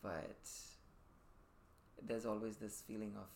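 A man in his thirties from Dubai, a podcast guest telling his personal story reflectively in thoughtful, measured phrases. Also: on the low side, little pitch movement, vocal fry, darkish tone. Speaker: podcast guest, performing artist. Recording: faint room noise behind the voice.

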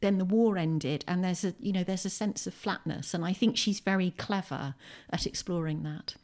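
Podcast guest: English woman, slightly low voice, articulate, opinionated, thoughtful conversational delivery. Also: moderate pace, a little mellow. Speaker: podcast guest, poet and writer. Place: at home, indoors.

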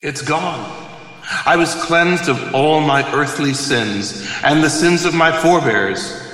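An actor playing the solemn, preachy ghost of a dead mogul in an audio play. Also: male voice, fairly high pitch, slow, a bit bright. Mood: solemn, serene. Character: ghost of a dead corporate mogul, cleansed and unrepentant. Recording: echoey hall with long reverb.

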